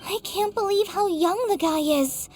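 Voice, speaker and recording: A woman voicing a tiny, childlike and excitable female fairy companion in a video game, cute and exclamatory. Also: very high-pitched, moderate pace, faint music behind. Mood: appalled.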